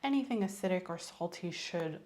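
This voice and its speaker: A soft-spoken woman doing an ASMR medical exam roleplay, often dropping to a whisper as she gives gentle, reassuring instructions. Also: fairly low pitch, animated, fairly quick. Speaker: ASMR creator playing a doctor.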